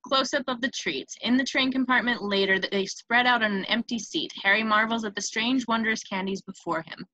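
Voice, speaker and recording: Bright-voiced woman reading screenplay narration. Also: fairly high pitch, normal speed, no room sound, muffled recording. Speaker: stage-direction narrator. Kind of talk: scripted narration at a table read. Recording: noticeable background noise on home mic.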